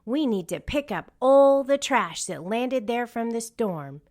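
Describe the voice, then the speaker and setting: A confident, upbeat boy who leads a team of rescue pups in a storybook, as a woman reader puts him on. He is decisive and encouraging, speaking with big lively pitch swings and an unhurried pace. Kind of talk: storybook character reading.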